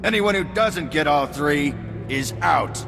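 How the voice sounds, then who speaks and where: A gravelly, scornful hunt announcer addresses a hall of bounty hunters in a video game cutscene. His voice sits a little high for a man and rings with long reverb while game music plays underneath. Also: animated, unhurried. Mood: contemptuous. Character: scornful male hunt announcer, loud and commanding.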